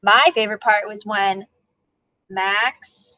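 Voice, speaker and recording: A woman's voice: medium pitch, little pitch movement, takes it slowly, sharp tone, no echo. A woman, an elementary teacher leading a read-aloud lesson for young children, asking questions and prompting as she goes.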